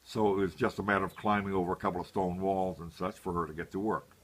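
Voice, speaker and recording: A man in his seventies from Hawaii reminiscing about family in an unscripted interview, halting and deliberate with long pauses. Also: sits low, fairly even intonation, slightly rough. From Hawaii, United States. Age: 70s. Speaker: interviewee, grandfather.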